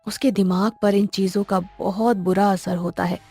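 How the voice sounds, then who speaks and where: A woman's voice: mid-range voice, fairly even intonation, moderate pace, dark tone, breathy edge. A woman storyteller reading scripted narration for a cartoon tale. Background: faint music.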